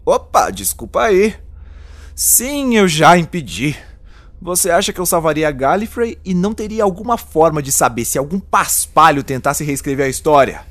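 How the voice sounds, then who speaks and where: An actor playing a weary, irritable time-traveling hero in an audio drama, with a wry, flippant and dramatic delivery. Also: male voice, fairly high pitch, lively intonation, moderate pace, fairly bright tone, no echo. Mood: triumphant. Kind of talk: scripted audio drama acting.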